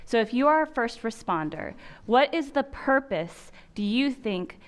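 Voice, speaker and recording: A woman's voice: on the high side, animated, unhurried. A woman leading an interactive training session, asking the room questions in a conversational way, in a large conference room on a lapel mic.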